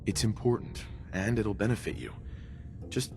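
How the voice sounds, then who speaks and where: A man playing a calm, plain-spoken hero in a video game, in a casual manner. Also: lowish voice, normal speed, creaky, a little hiss or hum. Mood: calming, persuasive.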